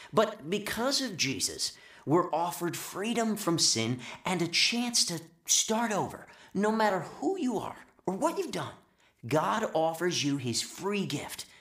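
An actor playing a fervent, welcoming mission preacher delivering an earnest, exhorting sermon in a radio drama. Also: male voice, sits high, fairly bright tone, full character voice. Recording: echoey mission-hall sound.

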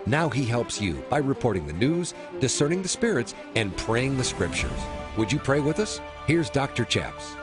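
A man reading a measured, scripted show introduction as an announcer. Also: medium pitch, very animated. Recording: voice-over with music.

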